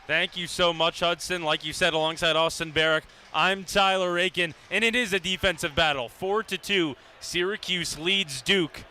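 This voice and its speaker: A man's voice: sits high, steady tone, normal speed, bright, forceful tone. A young man calling live sports on the radio in clipped, vivid present-tense phrases. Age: young adult. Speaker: radio play-by-play announcer. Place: live at a lacrosse game. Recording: noisy live stadium broadcast. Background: venue ambience and noise.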